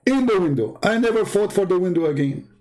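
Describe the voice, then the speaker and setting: Higher-pitched, sarcastic Arabic-accented man. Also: animated, darkish tone. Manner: sarcastic, halting with repetitions, acting out dialogue. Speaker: live show host. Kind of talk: casual, joking storytelling monologue.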